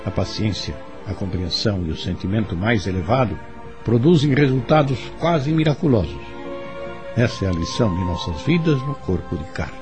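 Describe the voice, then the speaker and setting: A man with a Brazilian accent giving scripted moral commentary in a formal, measured, didactic way. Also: fairly low pitch, very animated, over music.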